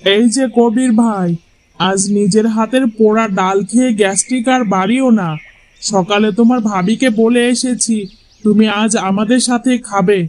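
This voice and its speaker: A man's voice: high-pitched, steady tone, normal speed, lightly acted, rough recording. A man voicing a chatty, opinionated husband in a cartoon folk tale, with a coaxing manner. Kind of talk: Bengali cartoon fairy-tale voice acting.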